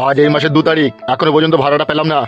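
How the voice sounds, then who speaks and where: A man's voice: a little high, little pitch movement, very fast, close to an everyday voice. A man playing a pushy, lecherous landlord in a comic cartoon, taunting and crude as he fires off questions. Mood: wheedling. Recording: dull lo-fi track with music.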